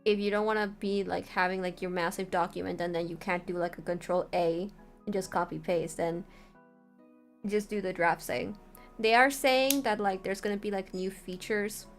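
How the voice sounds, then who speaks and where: A woman streamer talks through a software tutorial with mid-range pitch. Her delivery is informal and meandering, like a casual livestream walkthrough. Faint music plays behind her voice. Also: fairly even intonation, moderate pace.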